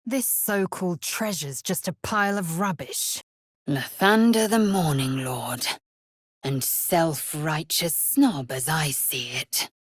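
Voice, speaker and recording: A woman voicing a fierce, proud young githyanki warrior in a video game, blunt and disdainful, with clipped, formal, archaic phrasing and a husky, breathy voice. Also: sits low, slow pace, dry recording, clean studio sound, heavily acted. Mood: curt, disdainful. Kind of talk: video game character voice lines.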